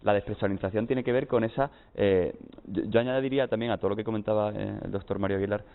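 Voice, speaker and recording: A man's voice: on the low side, fairly even intonation, fast, gravelly. A man from Spain with a Spanish accent, a guest psychologist explaining his points on a TV panel, often tagging "¿no?". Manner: explanatory, lists points with frequent "¿no?". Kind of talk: TV panel discussion. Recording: tinny lo-fi broadcast audio.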